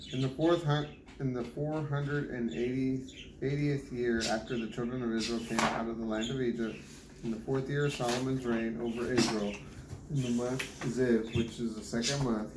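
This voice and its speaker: A man's voice: medium pitch, steady tone, normal speed. A man reading scripture aloud as a Bible reader, sometimes stumbling and rereading words. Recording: noisy, echoey home recording with clicks.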